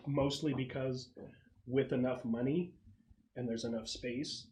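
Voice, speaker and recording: A man acting as game master, explaining a tabletop roleplaying game to his players in a halting, explanatory way. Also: mid-range voice, fairly even intonation, a little mellow, low-quality recording. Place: room at a gaming table with friends. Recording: clear background noise with clicks.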